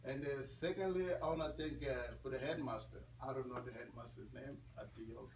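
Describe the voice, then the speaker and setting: A man's voice: mid-range voice, brisk. Congolese man speaking in a roomy space. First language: not English. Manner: conversational, repeats phrases for emphasis. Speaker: guest speaker. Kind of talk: informal talk to students. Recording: roomy, distant mic, clear background noise.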